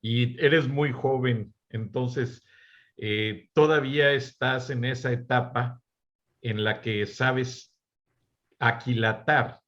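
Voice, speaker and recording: A man hosting a panel discussion who talks in a calm, measured way. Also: mid-range voice, muffled recording. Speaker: host.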